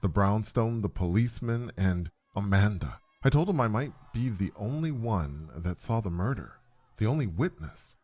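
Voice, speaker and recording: A man narrating a scripted first-person story in a dramatic, deliberate way with pauses. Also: lowish voice, animated, a little mellow.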